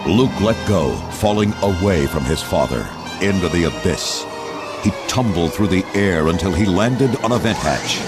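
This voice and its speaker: A man narrating a children's read-along adventure in a measured, theatrical, scripted storytelling style. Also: low voice, expressive. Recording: loud music and effects underneath.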